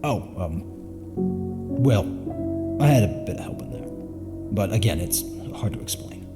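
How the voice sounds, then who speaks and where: Guy acting awkward earnest space crewman in an audio drama, lowish hesitant voice, loud music under it. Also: lively intonation, a little slow. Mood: sheepish. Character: awkward, lovestruck starship crewman, greying young man. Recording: long hall reverb, loud music behind.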